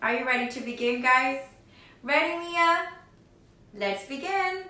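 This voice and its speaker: A woman's voice: high-pitched, lively intonation, measured pace, bright, forceful tone, heavy reverb, far from the mic. A woman teacher talking to young children as she hosts a read-aloud, often asking them questions directly. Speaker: teacher reading picture books aloud for children.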